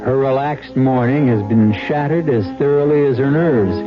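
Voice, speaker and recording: A man hosting a radio mystery, reading scripted narration in an ominous, deliberate, dramatic manner. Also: medium pitch. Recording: dull radio recording with music behind.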